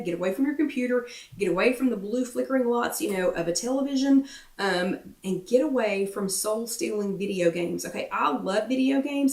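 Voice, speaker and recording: A woman with a croaky voice talks casually to her viewers as a workshop host, piling on repeats and ums. She sits back from the mic. The room adds a noticeable echo. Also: medium pitch, a little mellow, recorded from a distance. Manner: chatty, repeats herself for emphasis, many ums. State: voice getting croaky. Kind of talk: casual motivational chat to viewers. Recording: roomy echo, away from the mic.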